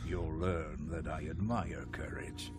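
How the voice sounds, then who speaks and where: An actor playing a stern, zealous priest interrogator in a video game, solemn, deliberate and condescending. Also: male voice, a bass voice. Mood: condescending, menacing. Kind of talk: video game cutscene acting. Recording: voice under loud dramatic game music.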